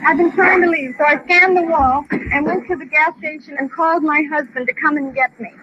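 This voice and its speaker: A woman's voice: high voice, a little fast. An American woman in her forties, agitated and distressed, giving a rambling, repetitive, pleading account. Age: 40s. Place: gas station, outdoors. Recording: dull, noisy old outdoor field recording.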